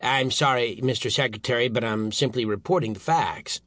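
A mild-mannered male scientist, played by a man reading an audiobook, apologetic and deferential to his superior. He speaks in measured, contrite phrases. The sound is very dry. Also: mid-range voice, a bit bright, no room sound, close to an everyday voice. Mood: apologetic, uneasy. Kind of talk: audiobook character voice.

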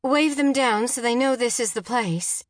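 A woman's voice: fairly high pitch, steady tone, slow pace, darkish tone, dry recording, studio quality, pitched above the performer's own voice. A woman voicing a frantic mother of a teenage son in an audiobook, urgent and begging. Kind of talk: audiobook character dialogue.

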